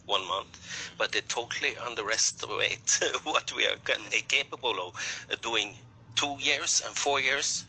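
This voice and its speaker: A man from Norway, a network marketer, speaking hesitantly with many pauses and fillers in a casual group chat. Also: medium pitch, pushed and bright, husky, poor audio.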